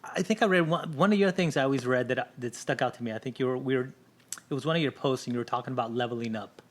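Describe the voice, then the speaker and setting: A man's voice: mid-range voice, quick pace. A middle-aged man whose first language is Spanish, a guest on a casual podcast, telling his story candidly and often checking in with "right?". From Southern California. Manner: candid storytelling, frequent "right?" tag questions.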